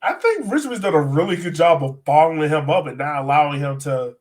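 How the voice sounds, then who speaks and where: A man's voice: on the high side, animated, brisk. A man co-hosting a soccer podcast, chatting informally and slangily as he breaks down the game. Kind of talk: casual sports chat and game analysis.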